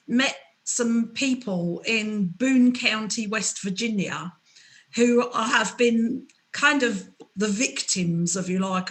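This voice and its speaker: A woman speaking as a panelist in an online discussion, measured and earnest. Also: mid-range voice, fairly bright tone.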